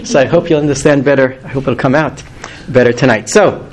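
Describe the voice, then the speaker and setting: A man's voice: mid-range voice, fairly quick, slight background noise, poor audio. A man in his fifties teaching a weekly Torah class to a group, earnest and emphatic, lifting his voice on key words. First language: not English.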